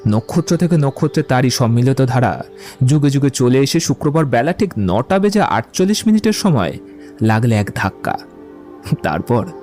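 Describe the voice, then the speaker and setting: A man playing a witty, romantic young gentleman in a Bengali audio drama, eloquent, playful and courteous in a theatrical way. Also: mid-range voice, sing-song, normal speed, faint music behind, close to an everyday voice. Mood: mock-philosophical.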